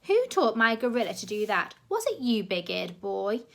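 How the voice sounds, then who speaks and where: A woman's voice: fairly high pitch, sing-song, moderate pace, fairly bright tone, exaggerated voice. A woman voicing a stiff, achingly posh male zoo director whose R's come out as W's. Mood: pompous. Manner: pompous, thundering.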